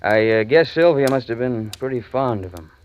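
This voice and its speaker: An actor playing a world-weary, stubborn investigator in a radio drama, with a slangy, wry and terse delivery. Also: male voice, mid-range voice, lively intonation, moderate pace, a little mellow, smooth, close to an everyday voice. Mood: pressing, impatient. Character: world-weary hard-boiled male investigator in love, stubborn. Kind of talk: 1940s radio drama acting, first-person narration. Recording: muffled old radio recording, music behind.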